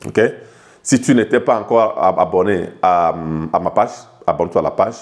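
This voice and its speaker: Halting French-speaking coach, many restarts. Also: male voice, deep voice. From Togo. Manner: addresses the viewer directly and familiarly. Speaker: life coach. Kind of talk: informal video lesson to camera.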